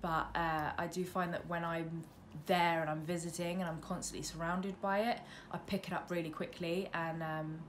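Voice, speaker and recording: Female vlogger, mid-20s, British, low register, informal and self-deprecating chat, recorded by a window with light road noise. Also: level tone, normal speed, hardly any pauses. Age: mid-twenties. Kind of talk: casual chat to camera, Q&A. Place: indoors, bedroom at a window facing a road. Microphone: camera on windowsill, about arm's length. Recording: noisy room by a busy road. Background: noise, passing traffic.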